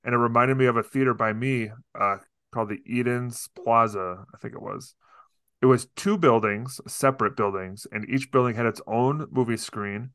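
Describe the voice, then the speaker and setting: A man's voice: medium pitch, moderate pace, a bit bright, dry recording, clean studio sound. A man hosting a podcast, asking friendly, rambling questions in casual interview talk. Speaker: podcast host.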